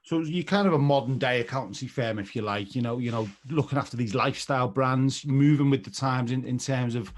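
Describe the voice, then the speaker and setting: Male host, relaxed and chatty, medium-pitched voice. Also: brisk, hardly any pauses. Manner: chatty, friendly, repeats words. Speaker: interview host. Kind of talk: casual interview.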